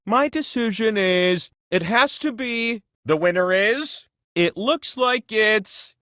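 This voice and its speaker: Casting: whimsical all-powerful game show wheel, high voice, very animated swings, gushing and theatrical. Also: male voice, takes it slowly, clear, resonant tone, no echo, studio quality, heavily acted. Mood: playful, eager. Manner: gushing, mock-grand, playful exclamations. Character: whimsical, grandiose godlike trivia wheel host, excitable and doting. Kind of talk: theatrical scripted game host lines.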